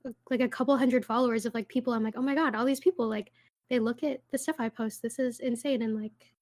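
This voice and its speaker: A woman's voice: fairly high pitch, fairly even intonation, quick pace, a little mellow. A woman in her twenties co-hosting a podcast, chatting casually with many fillers and frequent laughter. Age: 20s. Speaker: podcast co-host. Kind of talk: casual chat.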